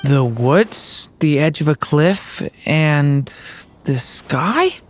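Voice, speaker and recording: A performer voicing a calm, polite and thoughtful young companion in a game. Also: mid-range voice, extremely slow. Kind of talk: game voice acting. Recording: game audio with music behind.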